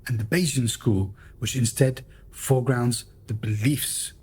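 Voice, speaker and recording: A man's voice: medium pitch, a little slow, a little mellow, husky. A man narrating and hosting a radio play in a formal, theatrical storyteller's manner. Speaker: host and narrator. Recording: music behind the voice.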